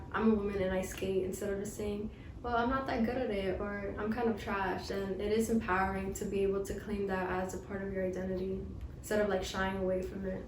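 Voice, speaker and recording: A young woman of nineteen talks thoughtfully about skating. Her pitch barely moves. The room echoes, and steady background noise is clearly audible. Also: far from the mic. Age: young adult. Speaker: skater. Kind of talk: casual interview answers.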